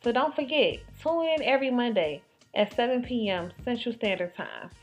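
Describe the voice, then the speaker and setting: A woman's voice: fairly high pitch, lively intonation, normal speed, loud music behind the voice. A woman announcing a show in an upbeat, hyped promo intro.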